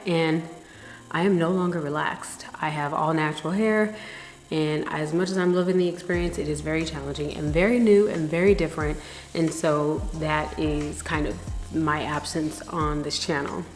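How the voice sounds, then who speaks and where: A middle-aged woman vlogger chatting candidly to camera, a little nasal from a slight cold, rambling and reflective. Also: on the low side. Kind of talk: casual reflective chat to camera. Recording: echoey room with long reverb.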